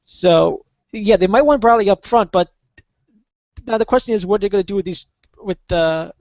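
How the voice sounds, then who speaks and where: A man talking as a guest soccer contributor in a casual podcast chat, halting with many fillers and restarts. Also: high-pitched, darkish tone, no room sound. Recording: dull lo-fi podcast audio, dry.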